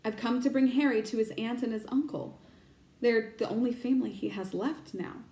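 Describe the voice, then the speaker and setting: A woman voicing a calm, kindly old male wizard from a storybook, measured and gently whimsical, in an echoey room. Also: on the high side, lightly acted. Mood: calm, firm.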